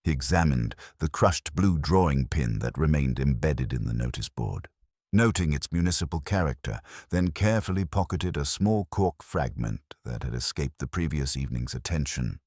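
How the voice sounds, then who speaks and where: A man narrating a scripted mystery story in a measured, formal, literary manner. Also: extremely low pitch, animated, darkish tone, dry recording, studio quality.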